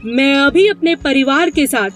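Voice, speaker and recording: A woman's voice: high voice, expressive, a little slow, voice pushed up. A woman voicing an earnest, cautious little frog in a children's story. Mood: firm, humble.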